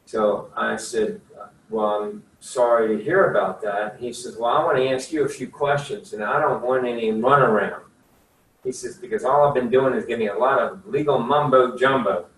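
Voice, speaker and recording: A man's voice: medium pitch, normal speed, fairly bright tone. Folksy older man telling stories. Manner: chatty, folksy, rambling storyteller with many fillers. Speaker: attorney. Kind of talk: casual talk at a career session. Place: room at a career services office. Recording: echoey room, far from the mic, faint hiss.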